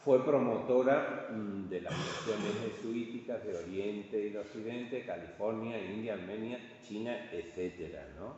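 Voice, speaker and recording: A man with an accent from Spain gives an informal art history lecture, halting and conversational, often checking in with his listeners. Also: fairly low pitch, a little mellow. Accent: Spanish from Spain. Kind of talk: informal illustrated lecture to an audience. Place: large reverberant indoor room. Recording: echoey hall, distant muffled sound, faint noise.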